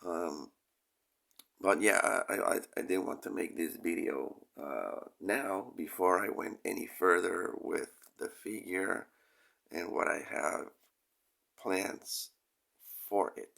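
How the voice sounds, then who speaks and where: Slow, very gravelly low-voiced man with a cold. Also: a bit bright. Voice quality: crackly, gravelly. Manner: hesitant, rambling, pausing often, frequent throat clearing. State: recovering from a cold, crackly voice. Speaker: toy review channel host. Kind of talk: casual product review talk.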